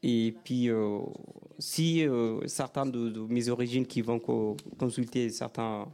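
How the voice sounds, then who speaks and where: A man from Sudan, an author whose first language is a Sudanese language, speaking in halting, non-native French on a panel. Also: mid-range voice, steady tone, mellow. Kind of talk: panel discussion.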